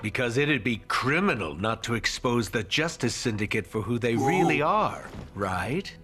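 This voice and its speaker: A man voicing an assured, calculating villain who can feign friendliness, in an animated video game. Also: medium pitch, moderate pace, soft background music. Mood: friendly, eager. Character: smooth, confident male villain feigning friendliness.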